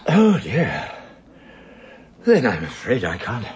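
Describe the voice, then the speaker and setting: A man's voice: mid-range voice, huge pitch swings, takes it slowly, slight background noise. An older man with a British accent playing an elderly judge in a radio drama, formal and old-fashioned in his phrasing. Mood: weary, courtly. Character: elderly male judge, courtly, fussy, genteel. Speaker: elderly judge arriving at a hotel.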